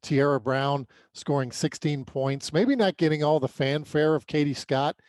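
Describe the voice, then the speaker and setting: An American man co-hosting a sports podcast, giving an unscripted recap in a halting way with repeated words. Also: a little high, steady tone, darkish tone, dry recording, clean studio sound.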